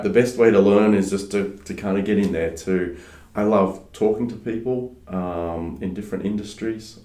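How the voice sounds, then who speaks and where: A man who grew up in Australia with French as his first language, talking casually as an interview guest, relaxed and full of fillers and restarts. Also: sits low. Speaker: guest, startup advisor. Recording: roomy echo.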